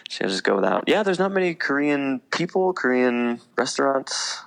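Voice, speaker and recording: A man's voice: medium pitch, big pitch swings, normal speed, vocal fry, fairly bright tone. A man chatting casually as a podcast guest, telling personal stories in an informal, self-deprecating way. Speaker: podcast guest, military veteran and teacher.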